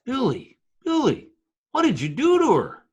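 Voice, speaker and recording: A man playing a wisecracking Broadway hustler who narrates his tale in old-time radio drama style, full of street slang and deadpan turns. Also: very high-pitched, a little slow, slightly rough, full character voice. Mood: amazed. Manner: folksy present-tense slang, deadpan storytelling. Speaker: narrator and supporting character. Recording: music behind, home video-call radio play.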